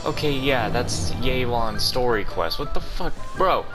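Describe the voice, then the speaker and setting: Lively slangy male gamer commentator. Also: mid-range voice, measured pace, music in the background. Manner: slangy, exclamatory, swearing. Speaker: gaming streamer. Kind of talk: casual gameplay commentary.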